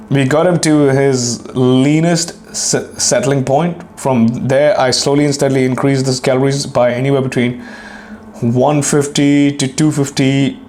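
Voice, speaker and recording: A hoarse young man hosting a solo fitness podcast, rambling and swearing casually while clearing his throat. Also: mid-range voice, darkish tone, a little hiss or hum. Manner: profane, rambling, self-deprecating. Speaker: podcast host and fitness coach.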